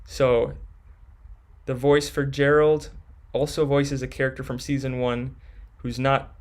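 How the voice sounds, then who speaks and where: A man's voice: mid-range voice, little pitch movement, unhurried, darkish tone. A young man hosting a live stream, reading viewers' questions from chat and answering them casually.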